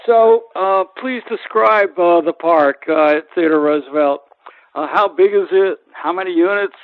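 A man's voice: high-pitched, unhurried, no echo. A man hosting a conversational interview, asking questions and introducing guests. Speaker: interview host. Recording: thin, phone-like radio sound.

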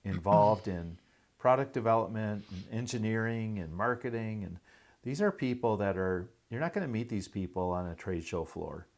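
Low-pitched man, chatty podcast host. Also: expressive, normal speed, darkish tone. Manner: enthusiastic, chatty, informal. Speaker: podcast host promoting industry events. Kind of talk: casual conversational promotion.

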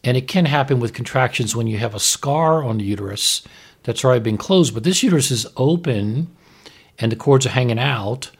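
Gravelly, husky man explaining medicine. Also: medium pitch, expressive, fairly quick. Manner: explains in plain terms, with prompting questions. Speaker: doctor explaining a TV medical drama as podcast co-host.

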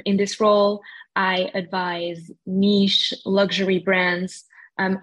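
Woman, medium-pitched voice. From France. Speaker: brand strategy director, podcast guest. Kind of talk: professional self-introduction in interview.

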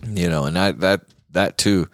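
Deep-voiced man, casual show host. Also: moderate pace, dry recording. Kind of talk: casual guitar talk show chat.

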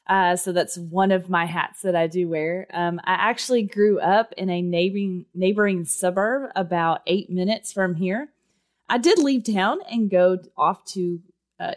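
Smooth Texan woman, lowish voice. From Texas. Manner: chatty, with frequent ums and uhs. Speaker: guest, education administrator. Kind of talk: conversational interview answers.

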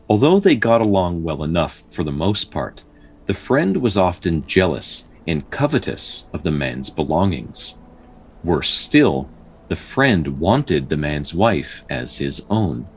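A man hosting a storytelling show, narrating folktales in a measured, dramatic way with casual asides and a husky voice. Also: low voice. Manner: measured, pausing delivery when telling the tale.

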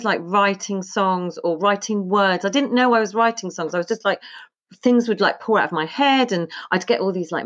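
Chatty woman in her fifties, lo-fi. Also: medium pitch. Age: 50s. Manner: chatty, rambling, laughs often. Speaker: podcast guest, musician. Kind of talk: casual interview chat. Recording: slightly dull lo-fi sound.

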